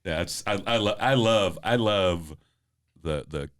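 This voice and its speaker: A man's voice: sits low, a little slow. A man hosting a podcast, chatting casually over beers, slangy and laughing as he tells stories. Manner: slangy, laughing, animated storyteller. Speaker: podcast host.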